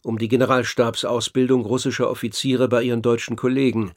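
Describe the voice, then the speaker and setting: A man narrating a scripted radio documentary in a measured, articulate, essayistic way. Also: mid-range voice, little pitch movement, no echo, clean studio sound.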